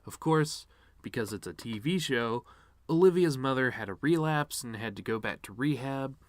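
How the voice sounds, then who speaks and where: A man's voice: medium pitch, expressive, moderate pace, dry recording, clean studio sound, close to an everyday voice. A man performing a dramatic monologue as a bitter, grieving middle-aged cartoon horse-man, sardonic, rambling and wounded. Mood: sardonic, agitated. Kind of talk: dramatic reading of a eulogy monologue.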